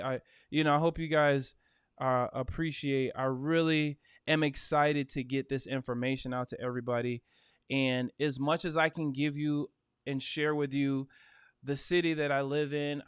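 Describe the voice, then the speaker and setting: A man talks through a casual realtor livestream in an upbeat, chatty way, with lots of "uh" and "you know" along the way. His voice is smooth and resonant. It sits dry and close on the mic, with no room sound. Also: mid-range voice, no room sound. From Michigan. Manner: chatty, upbeat, with frequent fillers.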